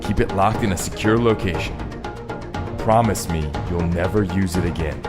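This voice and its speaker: A man's voice: sits low, moderate pace, over loud music, lightly acted. A man playing a grave, secretive soldier in an amateur audio drama, serious and urgent.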